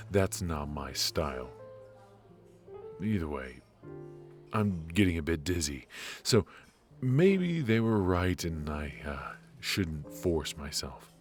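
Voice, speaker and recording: A man's voice: low voice, darkish tone. An actor playing a charismatic, injured former mafia man in an ASMR roleplay, teasing and flirtatious while he admits to being stiff and worn out.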